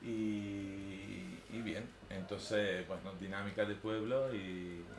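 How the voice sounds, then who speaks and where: A man's voice: lowish voice, steady tone, slow pace, a crowd in the background. A man in his thirties from northern Italy, a native Italian speaker with an Italian accent, talking informally and unscripted in a hesitant, rambling way, often tagging phrases for agreement. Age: 30s. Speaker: artist giving a talk. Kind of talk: informal unscripted personal talk. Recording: echoey room with faint background noise.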